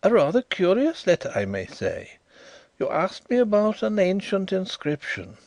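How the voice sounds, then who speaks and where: A man voicing a proud, guarded young man of about twenty-five who flares into excited outbursts. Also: high-pitched, normal speed, vocal fry, no room sound, voice pushed up, exaggerated voice. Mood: stiffly courteous.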